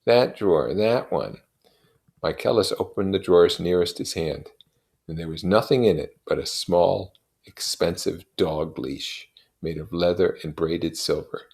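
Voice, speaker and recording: Low-voiced man reading a novel. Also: moderate pace, gravelly, a little mellow. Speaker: reader. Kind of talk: expressive literary narration read aloud.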